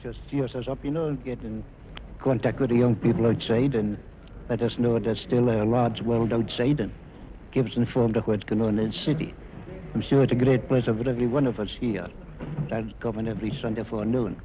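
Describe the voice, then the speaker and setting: A man's voice: medium pitch, fairly even intonation, brisk. An older man with a Scottish accent giving his views in an interview recorded in the 1950s. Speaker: hospital patient. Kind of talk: spoken testimonial. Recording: muffled, noisy 1950s location recording.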